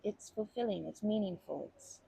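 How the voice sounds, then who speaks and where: Kindly old grandma, played by a woman, for ASMR comfort roleplay: creaky, mellow, gently rambling and nurturing. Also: medium pitch, slight background noise, low-quality recording. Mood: earnest, reassuring. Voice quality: husky, creaky voice. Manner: gentle, rambling, halting, doting endearments.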